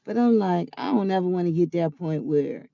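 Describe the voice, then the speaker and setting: Woman around forty, gravelly voice, chatty storytelling, dry close sound, muffled recording. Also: lowish voice, dry recording. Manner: chatty, animated, quick to laugh. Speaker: vlogger. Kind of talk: casual personal storytelling.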